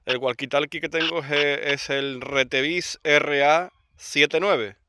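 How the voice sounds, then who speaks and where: A man's voice: a little high, fairly even intonation, slow pace. A man casually explaining his gear as a radio hobbyist, speaking unscripted. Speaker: radio hobbyist presenting a demo. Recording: clearly noisy, radio static and clicks.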